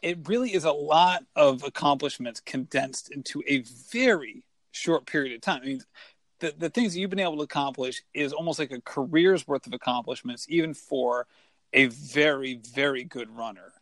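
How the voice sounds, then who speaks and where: A man's voice: fairly high pitch, normal speed, fairly bright tone, no room sound. A man hosting a running podcast, talking enthusiastically and rambling a little as he introduces and interviews his guest. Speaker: podcast host. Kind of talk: casual interview chat.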